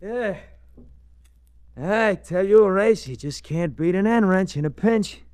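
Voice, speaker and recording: Cocky, high-pitched tough-guy sidekick. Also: slow, a little mellow, clear, resonant tone. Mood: gleeful. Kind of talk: 1940s radio detective drama acting.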